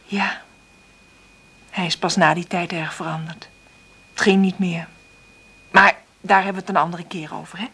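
An actress playing an insecure, tender single mother in a radio play, hesitant and thinking aloud in a breathy voice. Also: fairly low pitch, fairly even intonation, moderate pace, long pauses, fairly bright tone. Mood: tender, evasive. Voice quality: breathy, often half-whispered. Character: insecure, struggling divorced mother, tender and nervous. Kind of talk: naturalistic radio play acting with intimate monologue.

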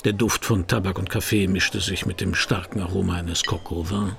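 An actor playing a laconic middle-aged private detective in a radio crime drama, with a dry, understated manner and a gravelly voice. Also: male voice, deep voice, fairly even intonation, moderate pace, noisy background. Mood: wry narrating. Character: wry, laconic French private detective, middle-aged man, narrating his case. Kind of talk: 1990s radio crime drama, first-person narration and dialogue.

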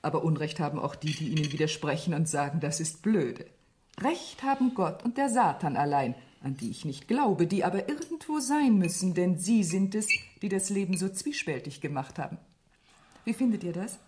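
Low, velvety, worldly woman. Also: very animated, normal speed, mellow, slight background noise. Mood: poised, ironic. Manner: playful, ironic, poised. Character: worldly, teasing, self-assured female society beauty. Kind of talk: German radio play acting.

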